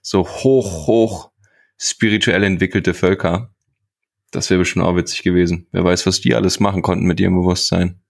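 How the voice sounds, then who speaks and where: A man's voice: sits low, fairly even intonation, moderate pace, creaky. A man co-hosting a podcast in casual, joking banter, often repeating words as he finds his phrasing. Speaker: podcast co-host.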